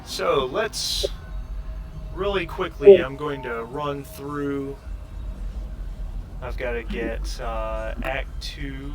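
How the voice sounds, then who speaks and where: A man narrating a tabletop game as game master in a halting, conversational way, often correcting himself as he improvises. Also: medium pitch, music in the background.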